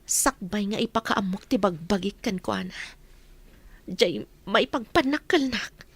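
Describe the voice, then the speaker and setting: Lady doing a wronged ilocano mom in a radio play, breathy and intense. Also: medium pitch, animated, measured pace, no echo. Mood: determined. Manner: determined, intense. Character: wronged mother plotting revenge. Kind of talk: radio drama acting.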